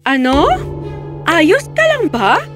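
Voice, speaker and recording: A woman voicing a gracious but commanding queen, regal and deliberate, in a fairy tale cartoon. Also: sits high, huge pitch swings, music in the background, heavily acted. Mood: kindly.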